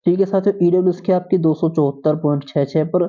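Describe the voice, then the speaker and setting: High-voiced man, phone-like sound. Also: fast talker. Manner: addresses viewers as friends, repeats and paraphrases. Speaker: host of a job exam update channel. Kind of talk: informal explainer, reading out notices. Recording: tinny, narrow phone-like sound.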